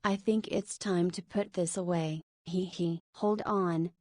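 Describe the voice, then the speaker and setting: A woman plays a tipsy, teasing mother in her forties who giggles through her words. Her voice sits low and the studio sound is dry and close. Visual novel voice acting. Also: fairly even intonation, a little slow, a little mellow, dry recording. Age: 40s. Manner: giggly, teasing, slurring drunk. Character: tipsy 40-year-old school principal, playful.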